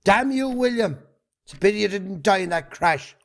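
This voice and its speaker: An amateur actor playing a spiteful, greedy older brother, blunt and accusing. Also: male voice, high-pitched, animated, moderate pace, creaky, very noisy. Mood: furious. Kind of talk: amateur stage drama acting.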